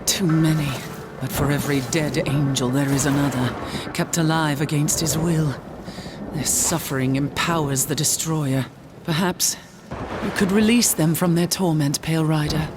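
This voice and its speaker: A woman's voice: low voice, fairly even intonation, normal speed, background noise, natural voice. A woman playing a proud angel warrior leader in a video game, speaking in a solemn, formal, commanding way. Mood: sorrowful, proud. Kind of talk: video game character acting.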